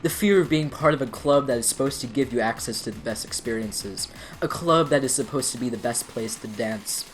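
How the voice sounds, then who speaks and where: A man's voice: mid-range voice, a little fast, slight background noise, natural voice. A man playing a pretentious, proudly unashamed online art poster in a solemnly earnest comedic dramatic reading. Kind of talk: dramatic reading of an internet comment argument.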